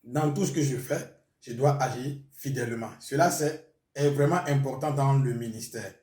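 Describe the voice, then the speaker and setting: A man's voice: mid-range voice, normal speed, a little mellow. Man, pastor from Burkina Faso, Mooré first language; hesitant testimony, distant mic, echoey room. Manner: hesitant, with frequent fillers. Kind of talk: testimony interview.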